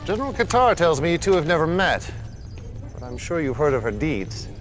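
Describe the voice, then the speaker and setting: An actor playing a charismatic, scheming messianic cult leader in a video game, speaking in measured, theatrical oratory. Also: male voice, fairly high pitch, very animated. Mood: smooth, assured. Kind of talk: video game cutscene acting. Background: loud dramatic music.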